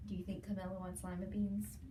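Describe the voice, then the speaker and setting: A woman reading a picture book aloud to children, expressively and with drawn-out emphasis. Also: mid-range voice, level tone, mellow. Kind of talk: expressive children's read-aloud with questions to listeners. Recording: echoey room, slightly distant, faint noise.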